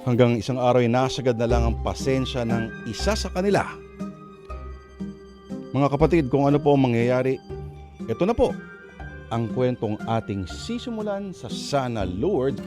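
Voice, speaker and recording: Radio drama host: male, dramatic swooping delivery, deliberate phrasing, music underneath. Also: medium pitch, animated. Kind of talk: dramatic storytelling introduction.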